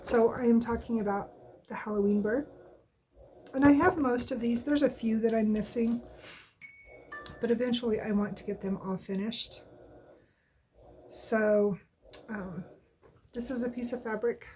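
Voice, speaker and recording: A woman's voice: a little high, fairly even intonation, a little slow, pauses often, a little mellow, slight background noise, rough recording. A woman with a stuffy nose from allergies, chatting casually at home in a weekly crafting update, rambling and often correcting herself. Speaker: cross-stitch vlogger. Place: at home, indoors.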